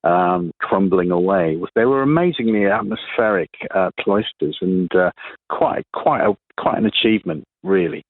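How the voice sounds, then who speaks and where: A man being interviewed on the radio, talking reflectively and haltingly, often rephrasing as he goes. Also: lowish voice, big pitch swings, creaky, no echo. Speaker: interview guest, playwright. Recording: narrow, phone-like radio sound.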